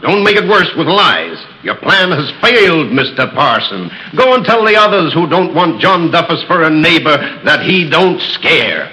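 A stubborn, scornful head of the house in a 1930s radio play. He blusters in a bright, sharp voice, and his pitch leaps about. His tone is somewhat high for a man. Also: big pitch swings, moderate pace, few pauses, rough recording. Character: stubborn skeptical middle-aged father who owns the house. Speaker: actor. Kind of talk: 1930s radio drama acting.

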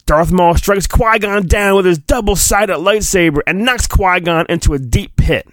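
Male podcast host, fairly high voice, dry recording; mock-dramatic children's book narration with offhand commentary. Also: no echo. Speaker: podcast host reading a storybook. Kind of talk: dramatic storybook reading with casual asides.

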